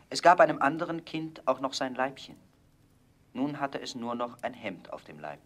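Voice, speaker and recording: Expressive male fairy-tale narrator, medium pitch. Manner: measured, expressive storybook phrasing. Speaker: storyteller.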